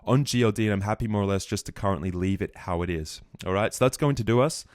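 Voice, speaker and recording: A man giving a market recap over his trading charts, a bit croaky, walking through trades confidently. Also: fairly low pitch, darkish tone, no echo, clean studio sound. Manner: fast, confident chart walkthrough. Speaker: trading educator.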